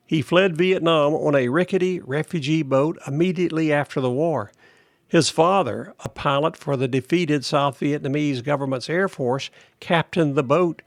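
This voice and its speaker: A man hosting a podcast, reading a news article aloud in a steady, plain delivery. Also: on the high side, no room sound, clean studio sound. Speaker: podcast host.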